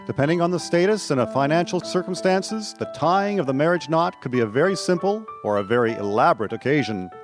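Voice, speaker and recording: A man's voice: on the high side, lively intonation, a little fast, hardly any pauses, music in the background. A man narrating scripted local history for a radio drama.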